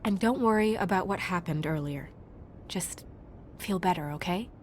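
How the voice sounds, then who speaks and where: A woman playing a polite, composed young official in a video game, courteous, tactful and measured. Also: fairly low pitch, a little hiss or hum, natural voice. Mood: gentle. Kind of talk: dramatic video game dialogue.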